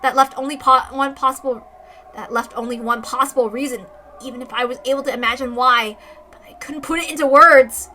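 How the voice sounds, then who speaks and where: A woman's voice: high voice, steady tone, a little fast, sharp tone, a little hiss or hum. A woman reading a first-person story aloud as narration. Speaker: reader of a visual novel story.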